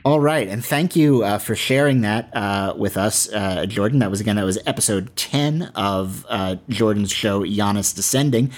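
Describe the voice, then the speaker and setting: A man's voice: on the low side, moderate pace, few pauses. A man speaking as a podcast host in a casual interview, hesitant, with many ums and repeated words.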